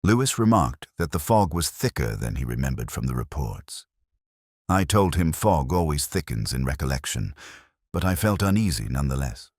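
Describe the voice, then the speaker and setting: Very deep-voiced man narrating a detective story. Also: very animated, a little mellow, dry recording, studio quality. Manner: measured, literary, brooding delivery. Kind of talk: scripted first-person detective story narration.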